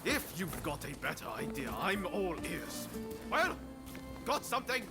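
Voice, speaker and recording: A man's voice: sits high, very animated, unhurried, vocal fry, exaggerated voice. An actor playing an earnest, good-natured rebel swordsman in a game cutscene, with a casual manner. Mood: challenging. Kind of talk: video game cutscene acting. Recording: game audio under loud music.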